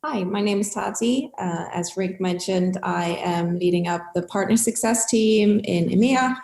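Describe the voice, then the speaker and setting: A woman's voice: medium pitch, a little slow, a little mellow, reverberant. A woman from Germany with a German accent, a customer success team lead, talking in a chatty, upbeat way in an interview. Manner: chatty and upbeat, with frequent fillers. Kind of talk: casual interview talk.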